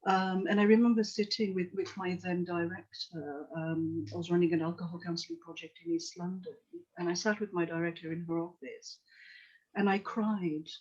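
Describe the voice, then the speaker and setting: Earnest woman from Tanzania, flat delivery. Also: on the low side, moderate pace. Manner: earnest, reflective, many restarts and "you know". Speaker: psychotherapist guest. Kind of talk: podcast interview storytelling. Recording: dull lo-fi call audio.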